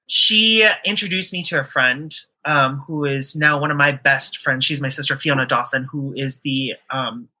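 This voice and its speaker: A woman's voice: deep voice, pushed and bright, rough recording. A woman guest on a podcast interview, speaking earnestly with many um fillers and restarts.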